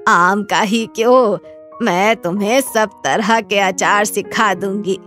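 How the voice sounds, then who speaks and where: A woman voicing a kindly old grandmother with a halting, affectionate way of talking, in a moral story. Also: mid-range voice, steady tone, fairly bright tone, soft background music, voice pushed down. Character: kindly old grandmother who makes pickles.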